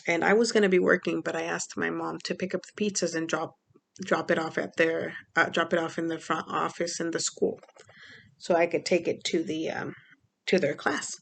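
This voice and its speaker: A woman's voice: low voice, level tone, normal speed, vocal fry. A young woman from Mexico talking casually in a personal vlog, rambling with many fillers. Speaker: vlogger.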